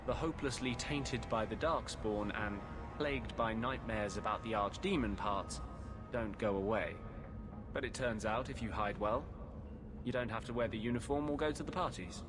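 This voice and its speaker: An actor playing a weary, sardonic runaway mage healer in a video game, with a dramatic delivery. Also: male voice, medium pitch, normal speed, close to an everyday voice. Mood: guarded, wry. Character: weary, wry runaway warden mage healer, guarded young man. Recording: music behind the voice.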